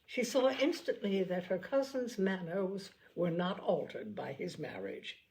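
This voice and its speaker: A woman reading a classic novel aloud in a measured storytelling manner, now and then correcting a slipped word. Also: fairly low pitch, expressive, darkish tone, slight background noise, low-quality recording. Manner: measured storytelling, occasional slips and self-corrections. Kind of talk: audiobook narration.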